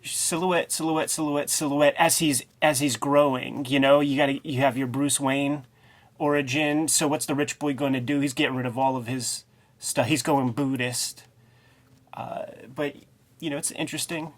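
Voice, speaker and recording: A man's voice: medium pitch, fairly even intonation, moderate pace. A man co-hosting a discussion show, giving colloquial, off-the-cuff commentary and often searching for his words. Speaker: co-host of a comic book discussion show. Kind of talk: casual chat and commentary.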